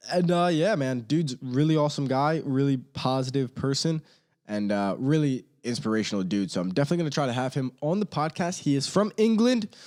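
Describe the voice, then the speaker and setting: A young man hosting his own podcast, chatting casually in a rambling, slangy way, a little hoarse and tired. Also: mid-range voice, darkish tone. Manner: rambling, laid-back, slangy. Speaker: podcast host. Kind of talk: casual solo podcast chat. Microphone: studio microphone.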